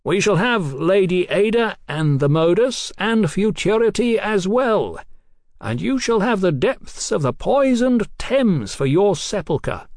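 A man's voice: high-pitched, very animated, measured pace, darkish tone, dry recording, clean studio sound, pitched above the performer's own voice, exaggerated voice. A man voicing a taunting, fanatical revolutionary villain in an audiobook, theatrical and declamatory. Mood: grandiose, menacing.

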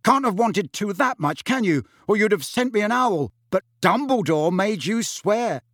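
A man voicing an angry teenage boy wizard who shouts bitter, sarcastic questions. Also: high-pitched, expressive, normal speed, dry recording, clean studio sound, voice pushed up. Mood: furious.

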